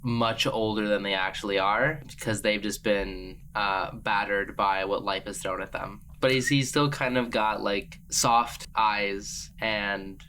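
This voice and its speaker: A man's voice: on the low side, fairly even intonation, measured pace, few pauses, bright, forceful tone, a little hiss or hum. A man playing a tabletop game, describing characters off the cuff and searching for words as he goes. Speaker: tabletop roleplay player.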